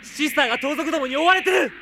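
A man's voice: very high-pitched, racing, gravelly, heavy background noise. A man acting in a Japanese radio drama as a brash, gallant young fighter with boyish, casual speech. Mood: urgent, brave. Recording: studio drama with loud music behind.

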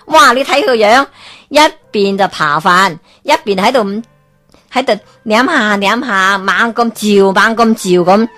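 A woman with a Hong Kong Cantonese accent narrating a folk tale as a radio storyteller, lively and expressive. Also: medium pitch, a little slow, faint music behind. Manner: lively, expressive, chuckling asides.

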